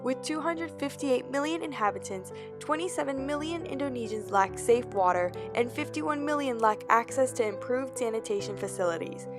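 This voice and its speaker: A woman's voice: high voice, lively intonation, normal speed, few pauses. A woman reading scripted documentary narration full of figures. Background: music.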